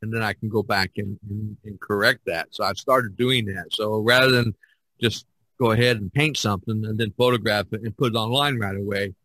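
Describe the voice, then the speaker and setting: An older man hosts a casual podcast with a bright, forward voice at a medium pitch. He explains things in a rambling way, and the recording is close and dry. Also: steady tone, fairly quick, no echo, poor audio. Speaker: podcast host and painter.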